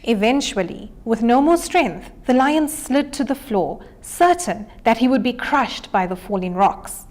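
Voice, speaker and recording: A woman's voice: fairly high pitch, animated, measured pace, hardly any pauses, a little mellow. A woman reading a children's storybook aloud. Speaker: story reader.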